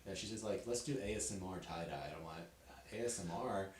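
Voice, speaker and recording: Brief: laid-back young male host, deep voice, loose filler-filled talk, echoey room. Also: fairly quick. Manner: relaxed, filler-heavy chat. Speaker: podcast host, comedian. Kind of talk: casual chat. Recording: echoey room, faint hiss.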